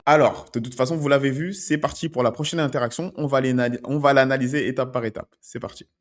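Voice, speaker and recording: A man with an ordinary mid-range voice breaks down street-approach footage like a dating coach. He keeps a conversational tone, often checking in with his viewers as he explains. His voice sits in a middle pitch, neither high nor low. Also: fairly even intonation, fast. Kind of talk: commenting on street-approach video clips.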